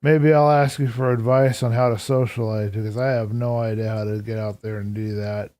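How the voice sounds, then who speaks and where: Hoarse, mellow-voiced man streaming. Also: on the low side, moderate pace. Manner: relaxed, reflective, answering chat aloud. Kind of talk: casual live-stream chat while gaming.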